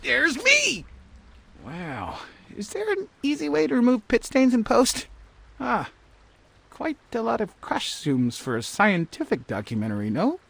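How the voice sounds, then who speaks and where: An excitable, fussy game-cartoon scientist talks in a high, husky voice that cracks with creak. The delivery is dramatic and wordy, always expressive. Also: male voice, a little slow, no echo, exaggerated voice. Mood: curious, amused. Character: excitable, fussy scientist, eager and easily appalled. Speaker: voice actor in a game cutscene. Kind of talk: animated cartoon game acting.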